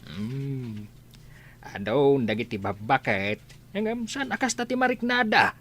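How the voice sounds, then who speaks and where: A man's voice: medium pitch, sing-song, unhurried, a bit bright. A man playing a blunt, fussing old husband who nags and exclaims in an Ilocano radio comedy drama. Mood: fussing, concerned.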